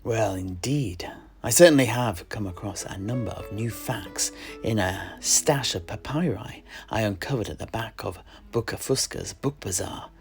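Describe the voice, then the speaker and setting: A man's voice: on the low side, normal speed, darkish tone, lightly acted. A man playing a courteous, scholarly tavern keeper and amateur historian who hosts a fantasy audio drama, speaking in polite, wordy phrases. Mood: scholarly eagerness. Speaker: host. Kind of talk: in-character fantasy audio roleplay. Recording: music behind the voice.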